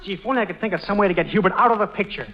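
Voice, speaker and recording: A man's voice: high voice, racing, background noise, lightly acted. An actor playing a naive, lovelorn young man with a boyish, earnest manner in an old radio comedy. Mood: earnest, plotting. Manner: boyish, sheepish, animated. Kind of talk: 1940s radio comedy acting. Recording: muffled old radio broadcast.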